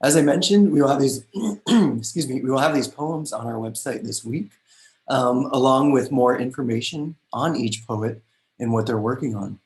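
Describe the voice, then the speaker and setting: Relaxed man host giving thanks. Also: mid-range voice, normal speed, poor audio. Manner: relaxed, many filler ums. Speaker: host of the event. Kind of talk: closing remarks and thanks.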